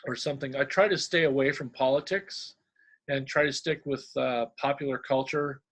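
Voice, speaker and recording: A middle-aged man from Indiana, a humor columnist with a deadpan manner, sharing his work. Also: mid-range voice, normal speed. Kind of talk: reading a humorous diary-style column, then casual talk.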